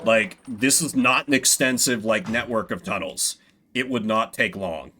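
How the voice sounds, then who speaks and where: A man's voice: lowish voice, a little slow, bright, forceful tone, faint music behind. A man giving casual, chatty commentary as a video game streamer. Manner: chatty, informal.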